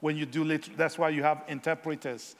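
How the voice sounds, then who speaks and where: A guest preacher, his voice sitting a little high for a man. He talks haltingly, filling gaps with small hesitations and wry jokes, and his words ring out in a hall with a long echo. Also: little pitch movement. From Africa. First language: Yoruba. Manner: halting, frequent filler pauses, self-deprecating humor. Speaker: guest missionary speaker. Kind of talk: sermon.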